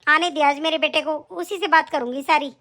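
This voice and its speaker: A woman's voice: high voice, fairly even intonation, fairly quick, bright, forceful tone. A woman voicing a stern, scolding older mother-in-law in a family skit. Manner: scolding, indignant.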